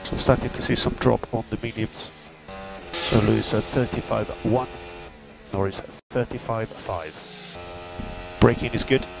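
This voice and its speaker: A man's voice: fairly low pitch, steady tone, a little slow, gravelly, pauses often. A man working as a race engineer, giving terse, matter-of-fact technical updates in clipped phrases over team radio. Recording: noisy radio link with mechanical hum.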